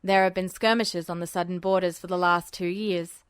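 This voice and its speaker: A woman's voice: on the low side, normal speed, smooth, no echo, pitched below the performer's own voice, natural voice. A woman voicing a smug, unflappable male military officer, dry and teasing as she reads the story aloud. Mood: smug, unruffled. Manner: dry, teasing, calmly commanding. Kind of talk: podfic character voice.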